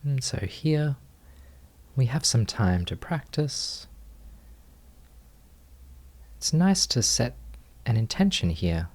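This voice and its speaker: A man leading a guided meditation as a teacher, speaking gently in short, unhurried phrases with long pauses. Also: mid-range voice, big pitch swings.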